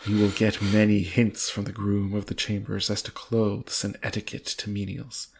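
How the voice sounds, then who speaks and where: A man's voice: fairly low pitch, completely flat delivery, normal speed, gravelly, slight background noise. A man voicing a lofty, complacent aristocrat in a storybook read aloud, with a pompous, genteel manner. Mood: brisk, condescending. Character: lofty, complacent English earl, casually boastful aristocrat.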